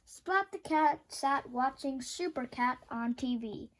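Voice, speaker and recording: High-pitched woman reading a children's storybook. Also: takes it slowly. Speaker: storybook reader. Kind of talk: children's picture-book read-aloud.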